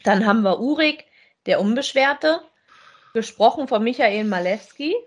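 A woman's voice: fairly high pitch, lively intonation, moderate pace. A woman, chatting casually as a podcast co-host.

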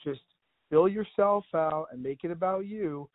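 A man's voice: a little high, a little slow, no room sound. A man co-hosting a podcast, easygoing and slangy as he hands over to his co-host. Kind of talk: casual podcast talk. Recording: dry, tinny phone-like sound.

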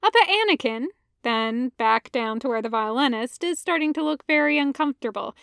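Woman w a high voice reading a story out loud, expressive, really dry recording. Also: brisk, gravelly, no room sound. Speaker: podfic narrator. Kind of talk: expressive fan fiction audiobook narration.

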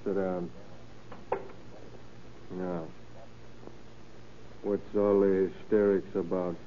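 An actor playing a gruff, irritable husband with a guilty secret in an old radio crime drama, impatient and snapping. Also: male voice, low voice, level tone, drawn-out words. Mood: irritated. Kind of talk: 1930s-40s radio crime drama acting. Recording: old muffled radio recording with noise.

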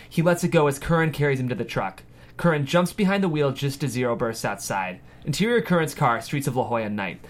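A man reading scripted screenplay stage directions as the narrator of a table read. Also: mid-range voice.

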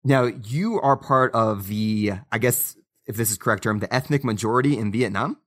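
A man's voice: medium pitch, moderate pace, fairly bright tone. A man hosting an interview podcast, asking friendly, enthusiastic questions with plenty of fillers. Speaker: podcast host.

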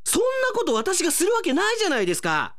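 A man playing an earnest, excitable, indignant male office worker in a melodramatic radio drama. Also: very high voice, rapid-fire, voice pushed up, heavily acted. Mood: desperate protest. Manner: melodramatic, exclamatory, desperately self-justifying.

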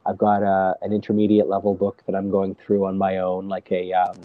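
A man telling stories as a guest, talking in a hesitant, halting way with many restarts, seated at home on a video call. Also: deep voice, monotone, dry recording, narrow, tinny audio. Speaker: storyteller guest. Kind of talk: casual conversation.

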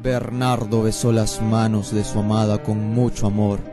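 Lowish-voiced man narrating a radio drama. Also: level tone, moderate pace, music in the background.

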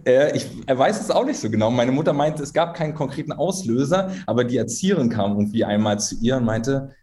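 A man's voice: medium pitch, quick pace. A man in his thirties from Berlin chats as a guest about his life as a drummer. He tells stories at an easy pace, hedges a lot and laughs at himself. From Berlin, Germany. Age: 30s. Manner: relaxed storyteller, hedging, self-deprecating laughs. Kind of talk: casual interview chat.

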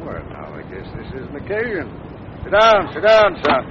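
An actor playing a dignified older father in 1940s radio comedy, measured, wryly understated and gently amused. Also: a little high, wildly swooping pitch. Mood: mildly pleased. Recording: very noisy, muffled 1940s radio recording.

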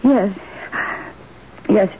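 An actress playing a shy, wistful housewife in her late thirties in a radio drama. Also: drawn-out words. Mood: puzzled, questioning. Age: 30s. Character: shy, dreamy middle-class housewife nearing forty, wistful and questioning. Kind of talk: 1970s radio drama acting. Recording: dull, noisy old radio drama recording.

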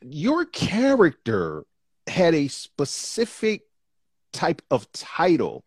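A man hosting an interview show, asking questions in an expressive, enthusiastic way. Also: fairly high pitch, slow pace, slightly rough, dry recording, clean studio sound. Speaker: podcast host. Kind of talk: interview conversation.

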